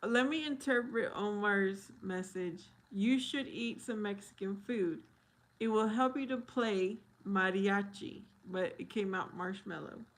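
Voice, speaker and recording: A woman's voice: medium pitch, a little slow. A woman live streaming and chatting casually with viewers, answering them by name and laughing often. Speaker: live streamer reading chat.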